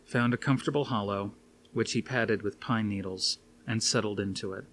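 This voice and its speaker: A man's voice: on the low side, a bit bright, slight background noise. A man reading a scripted story aloud as an audiobook narrator. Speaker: audiobook reader.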